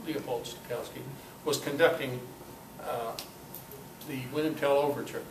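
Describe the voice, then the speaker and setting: A man's voice: medium pitch, steady tone. Older man giving a talk, distant and echoey. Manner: halting, conversational storytelling. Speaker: guest speaker at a club meeting. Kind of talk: informal talk with personal anecdotes. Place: meeting room, in front of an audience. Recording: echoey, noisy room recording from far off.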